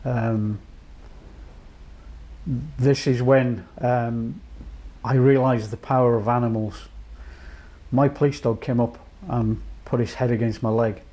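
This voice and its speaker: A man from North Wales in his late fifties, a charity chief executive giving a measured, reflective personal account with many pauses. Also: mid-range voice, steady tone, mellow, poor audio. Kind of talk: personal testimony to camera.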